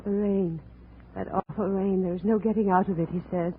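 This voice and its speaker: An actress playing a brooding, anguished young wife in a 1950s radio drama, speaking in a formal, halting way. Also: female voice, mid-range voice, steady tone, natural voice. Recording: muffled, noisy 1950s radio recording.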